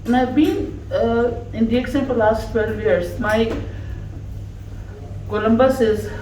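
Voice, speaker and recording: A woman whose first language is Urdu delivers an emphatic, affectionate farewell tribute at an easy, deliberate pace. She is recorded from across an echoey office room with faint background noise. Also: fairly high pitch, fairly even intonation, distant mic. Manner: slow, warm, emphatic, laughing between phrases. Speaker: speaker at a farewell gathering. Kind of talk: heartfelt tribute speech.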